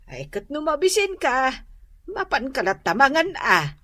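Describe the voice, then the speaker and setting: A woman playing a middle-aged, sharp-tongued, gossipy mother-in-law with a teasing manner in a comedy radio drama. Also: sits high, huge pitch swings, unhurried, exaggerated voice. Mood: bossy, nagging.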